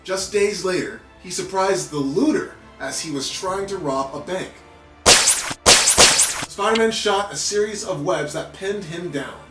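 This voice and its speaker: A man with a fairly high voice reads a story for children. His narration is animated and playful, recorded in an echoey room with soft music behind it. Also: a little slow. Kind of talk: animated read-aloud narration for kids, with playful asides. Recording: roomy echo with background music.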